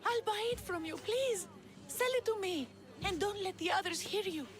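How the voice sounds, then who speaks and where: A woman's voice: very high voice, normal speed, soft background music. A woman playing a naive, lovesick young woman in a video game, earnest and pleading. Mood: desperate, pleading. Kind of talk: dramatic video game acting.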